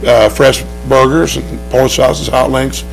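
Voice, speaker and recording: Hoarse man promoting his race track. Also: mid-range voice, steady tone, moderate pace, soft background music, rough recording. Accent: Oklahoman. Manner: upbeat promotional, rambling with many restarts. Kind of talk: TV studio interview. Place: TV studio.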